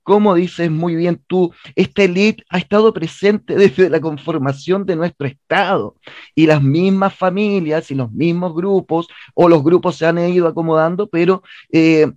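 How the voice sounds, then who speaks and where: A man's voice: on the high side, steady tone, normal speed, a little mellow, no room sound. A man with a Chilean accent giving a radio interview as a guest, speaking earnestly and emphatically, repeating words as he argues, on lo-fi audio. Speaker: theatre director, interview guest.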